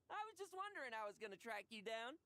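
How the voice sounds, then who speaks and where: A woman's voice: on the high side, very animated, normal speed, sharp tone, slight background noise. A woman voicing a brash, boisterous young male knight who sounds cocky and eager for a fight.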